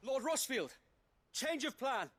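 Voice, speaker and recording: A man's voice: very high voice, very animated, unhurried, a bit bright, slight background noise, full character voice. A man voicing a loyal knight in a game, formal, earnest and deferential. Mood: urgent, dutiful. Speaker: video game character. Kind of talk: dramatic game voice acting. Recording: faint game music behind. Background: game music.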